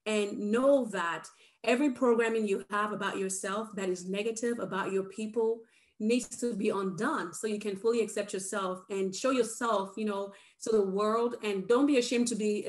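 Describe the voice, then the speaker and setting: A woman's voice: mid-range voice, normal speed. A woman from Nigeria speaking on a video-call webinar panel, earnest and urging as she encourages parents. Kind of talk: passionate webinar talk.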